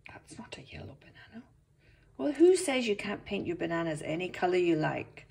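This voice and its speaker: A woman reading a picture book aloud to young children as its author, expressive, with gentle asides. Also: lowish voice, moderate pace, vocal fry, a little hiss or hum.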